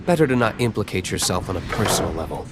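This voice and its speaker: A man's voice: low voice, sing-song, fast talker, noisy background. A man playing a brooding, aloof young nobleman vigilante in an anime-style video game, curt and reserved. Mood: guarded.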